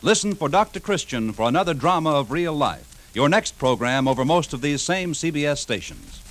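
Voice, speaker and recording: A man's voice: fairly high pitch, animated, moderate pace. A man announcing in polished, formal 1950s network radio style.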